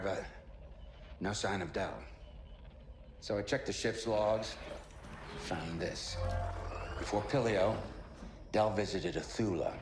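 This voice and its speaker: A man's voice: lowish voice, close to an everyday voice. A man playing a weary, dry-witted alien rebel pilot in a video game, talking matter-of-factly. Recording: echoey ship interior, effects noise behind.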